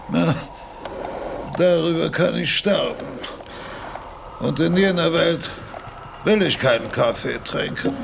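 A frail old professor, speaks in a high, creaky voice. He is fussy and imperious, halting as he lays down his orders slowly, as in a radio play. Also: noisy background, full character voice. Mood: querulous, impatient. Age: older. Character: frail, pedantic, querulous old philosophy professor, male. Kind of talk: radio drama acting.

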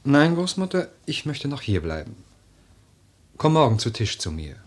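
An actor playing a melancholy, stubborn young nobleman in a classic German radio drama, with a husky voice and an earnest, formal delivery. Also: medium pitch, big pitch swings, normal speed. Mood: stubborn, subdued. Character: grieving, stubborn young nobleman, aged twenty-three, melancholy grandson.

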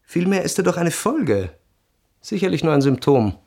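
A man narrating a first-person literary radio drama in a measured, reflective way. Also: fairly high pitch, sing-song, a little mellow, no room sound, clean studio sound.

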